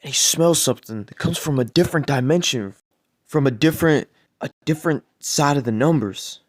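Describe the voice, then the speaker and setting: A man improvising a crude bedtime story in a deadpan, rambling way, with lots of swearing and repeated words. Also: fairly high pitch, a little fast.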